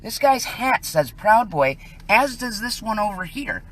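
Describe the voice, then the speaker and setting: A woman's voice: mid-range voice, big pitch swings, unhurried, rough recording. Casting: American woman, mid-range voice, citizen livestreamer, indignant and emphatic, commenting from a residential street. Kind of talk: live on-scene commentary to camera.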